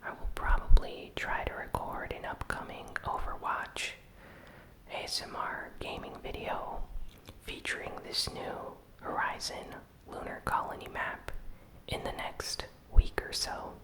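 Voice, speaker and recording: A host whispering a gaming news recap in a soft, unhurried ASMR style. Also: low-quality recording. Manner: slow, soft, unvoiced whispering.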